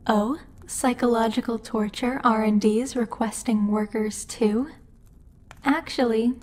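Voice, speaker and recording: A woman playing a stern, impatient female demon lord in an ASMR roleplay, speaking in a haughty, measured, exasperated way. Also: fairly high pitch, warm tone. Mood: businesslike, exasperated. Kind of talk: ASMR roleplay monologue addressed to the listener.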